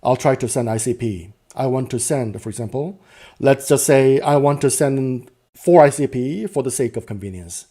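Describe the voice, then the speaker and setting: A man's voice: medium pitch, moderate pace, dark tone. A man narrating an unscripted technical demo, explaining each step and often rephrasing himself as he goes. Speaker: presenter demonstrating software.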